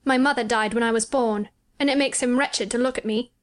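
A woman's voice: a little high, fairly even intonation, a little fast, pitched above the performer's own voice, close to an everyday voice. A woman voicing a frail, imperious young boy with a plaintive, self-pitying manner in a storybook reading. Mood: bitter self-pity.

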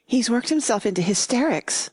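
A woman voicing an anxious, flustered children's nurse in a storybook, hurried and urging. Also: a little high, lively intonation, darkish tone, slightly rough, no room sound. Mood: anxious, pleading.

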